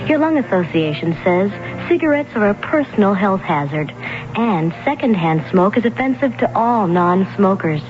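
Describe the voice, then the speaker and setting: A woman's voice: mid-range voice, expressive, moderate pace. Female PSA voice, earnest and plain; dull vintage radio audio, music underneath. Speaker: public service announcer.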